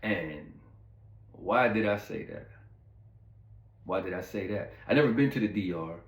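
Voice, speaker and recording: A man's voice: sits low, animated, measured pace. Laid-back man rambling through a story in a roomy echo. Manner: rambling, laid-back, heavy on fillers and asides to viewers. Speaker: channel host telling a story. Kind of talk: casual storytime monologue.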